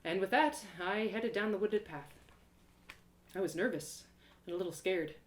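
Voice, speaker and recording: A woman voicing a plucky young girl adventurer who narrates her own journey in an expressive, colloquial storybook style. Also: medium pitch, reverberant, close to an everyday voice. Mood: nervous, wistful. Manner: expressive, colloquial, with exclamations and dramatic pauses. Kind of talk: animated first-person storybook narration, live one-woman audio drama.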